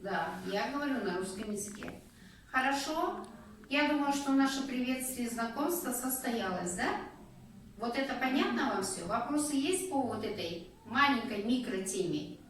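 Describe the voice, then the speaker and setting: A woman teaching a Russian lesson one-on-one, guiding patiently with slow, clear prompts. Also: a little high, animated. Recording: echoey room, distant mic, faint noise.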